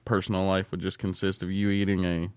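Low-pitched man bantering casually. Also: level tone, fairly quick, darkish tone, smooth, no echo, clean studio sound. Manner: teasing, rambling. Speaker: podcast co-host.

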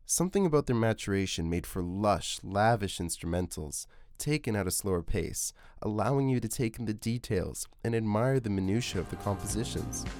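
A man hosting a podcast, reading scripted music-documentary narration in a measured, essay-like way. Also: fairly low pitch, lively intonation, darkish tone. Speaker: podcast host. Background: music under the voice.

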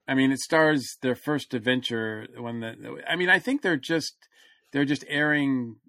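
A man co-hosting a podcast, chatting casually about fan news in a halting, hedging way. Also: mid-range voice, bright, forceful tone, dry recording, studio quality. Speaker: podcast co-host.

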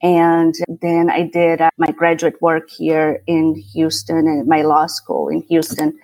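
Brazilian-accented woman, low and dry. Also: completely flat delivery, a little slow, darkish tone, dry recording, low-quality recording. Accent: Brazilian Portuguese. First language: Portuguese. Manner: easygoing, laughs lightly, repeats words as she thinks. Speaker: guest, tax attorney and CPA. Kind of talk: casual interview talk.

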